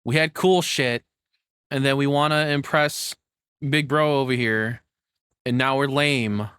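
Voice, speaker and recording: A man's voice: mid-range voice, steady tone, unhurried, fairly bright tone, clean tone, dry recording, studio quality. A man hosting a reaction video with informal, rambling, unscripted commentary.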